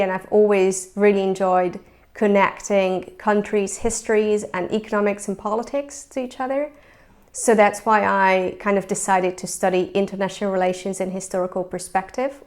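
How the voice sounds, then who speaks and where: A young woman from Hungary talks about her studies in an easygoing, reflective way. She often pauses on "um" and restarts a phrase. Her voice sits at an ordinary middle pitch. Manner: thoughtful, with many ums and restarts. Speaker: former university student. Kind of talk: casual spoken account to camera.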